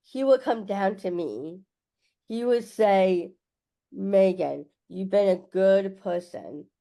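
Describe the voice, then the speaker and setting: A young woman sharing a heartfelt personal story in a soft, halting way on a video call. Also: medium pitch, creaky.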